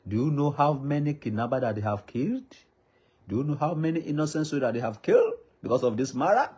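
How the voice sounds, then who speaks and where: A man's voice: mid-range voice, expressive, fast, a little mellow, husky, highs cut off. A Nigerian man with a Yoruba accent, a native Yoruba speaker, makes an impassioned public appeal in a pleading, repetitive cadence like a preacher. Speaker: traditional ruler addressing the public.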